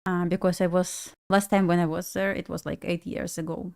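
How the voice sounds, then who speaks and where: A woman's voice: on the low side, flat delivery, moderate pace, gravelly, a little mellow. A young woman from Belarus, a native Russian speaker with a Russian accent, chatting casually and laughing while she day trades on a live stream. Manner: chatty, laughs often, talks to herself.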